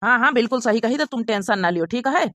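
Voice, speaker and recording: Casting: woman as protective young husband in cartoon comedy, Awadhi accent, high bright voice, reassuring and reasonable. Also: expressive, fast, no echo, studio quality. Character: young married son, protective, generous and reassuring.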